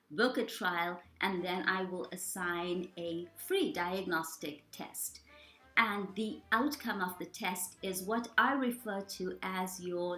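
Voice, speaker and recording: Casting: woman, lively tutor self-intro, echoey room with faint music. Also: mid-range voice. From South Africa. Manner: lively, emphatic, repeats phrases for effect. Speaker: language tutor.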